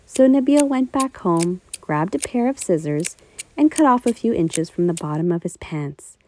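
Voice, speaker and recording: A woman storyteller reading a children's tale with expressive, deliberate phrasing. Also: fairly low pitch, slight background noise, poor audio.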